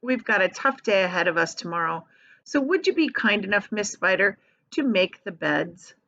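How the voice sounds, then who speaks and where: A woman's voice: medium pitch, expressive, normal speed, sharp tone, dry recording, lo-fi sound. A woman voicing a polite, tired old male grasshopper in a children's storybook. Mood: weary, courteous. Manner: courtly, polite.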